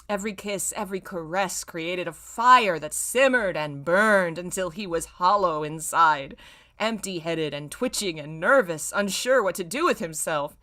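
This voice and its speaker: Low-pitched woman reading fan fiction aloud. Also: sharp tone. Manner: self-mocking, exclamatory in asides. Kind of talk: dramatic read-aloud with casual mocking asides.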